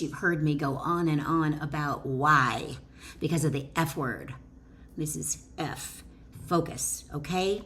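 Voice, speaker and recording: A woman author and wellness educator reading her book aloud with informal asides, deliberate and emphatic, pausing between short phrases. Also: lowish voice.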